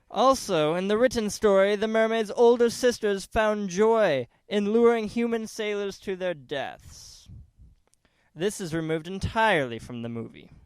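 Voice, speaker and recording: Man, high voice, dry close sound, formal scripted documentary narration, careful reading. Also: clean tone, no echo. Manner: formal, careful, essay-like delivery. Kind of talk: scripted narration, read aloud.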